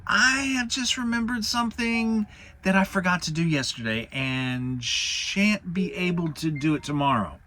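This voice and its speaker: A man voicing a small, timid, excitable piglet in a children's storybook, polite and anxiously making excuses. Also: high voice, voice pushed up, full character voice. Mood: anxious, evasive. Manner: nervous, hurried excuse-making.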